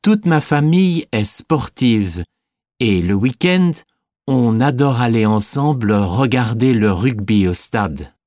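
An actor playing a young sporty French man answering scripted interview questions with clear enunciation. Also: medium pitch, lively intonation, slow pace, darkish tone, no echo, studio quality. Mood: friendly, measured. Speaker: interviewee in a language listening exam.

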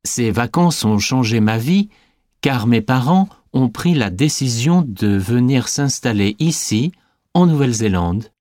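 Slow-spoken young French man voiced by an actor. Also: medium pitch, expressive, a little mellow, no echo, studio quality, natural voice. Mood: friendly, measured. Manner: slow, clearly enunciated. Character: young French man living in New Zealand, sporty. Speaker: interviewee in a language listening exam. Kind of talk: scripted exam interview answers.

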